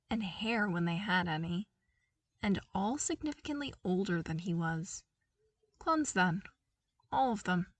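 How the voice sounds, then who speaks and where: A woman's voice: medium pitch, no room sound. Woman narrating a story, slightly distant mic. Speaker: fan fiction reader. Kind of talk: expressive scripted story narration.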